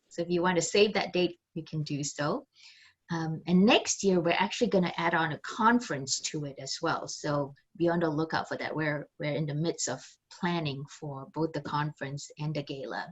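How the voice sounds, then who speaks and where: A woman's voice: low-pitched, dull audio. A woman giving an orientation talk on a video call, conversational with many fillers, her phrases trailing off. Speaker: chamber staff presenter.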